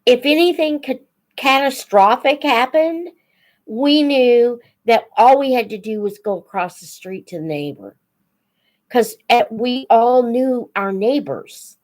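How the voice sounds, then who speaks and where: An older woman chatting casually as a live host for young viewers, in an unhurried, reflective, folksy manner. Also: on the high side, animated, a little mellow. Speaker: host of a children's book read-aloud channel.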